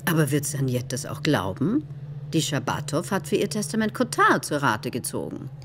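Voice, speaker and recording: An actress in a radio play playing a wealthy, skeptical, calculating wife with a dismissive, hesitant manner. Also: female voice, low-pitched, very animated, normal speed, background noise. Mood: skeptical, reluctant. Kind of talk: German radio play dialogue.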